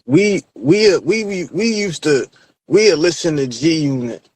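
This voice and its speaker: A man's voice: fairly high pitch, expressive, unhurried, darkish tone. A man in his thirties, hosting a casual podcast chat, repeating words as he builds his point. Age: 30s. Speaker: podcast host.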